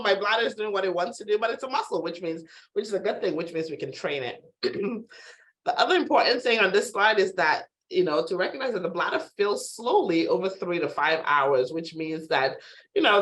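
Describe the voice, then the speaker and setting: A woman physician giving an introductory health webinar over a video call, her voice hoarse from a sore throat, conversational with frequent fillers and throat clearing. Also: lowish voice, low-quality recording. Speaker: physician presenter. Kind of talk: webinar lecture.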